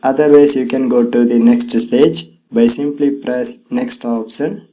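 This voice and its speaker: A man's voice: mid-range voice, steady tone, measured pace, warm tone, rough recording. A man hosting a tech tutorial in scripted narration, with non-native English phrasing.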